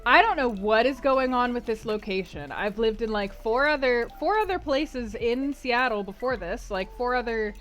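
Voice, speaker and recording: A woman with a slightly high voice chats loosely with her stream audience, rambling through fillers and breaking into frequent laughs. She is a streamer hosting a read-aloud book club. Soft music plays faintly behind her. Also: animated, moderate pace, few pauses. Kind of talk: casual chat with live chat before a stream reading.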